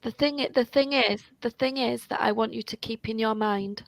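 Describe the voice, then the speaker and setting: A woman's voice: on the high side, normal speed, vocal fry, dry recording. A British woman tutoring English over a video call, encouraging and emphatic, often repeating words for stress. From United Kingdom. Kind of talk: online tutoring conversation.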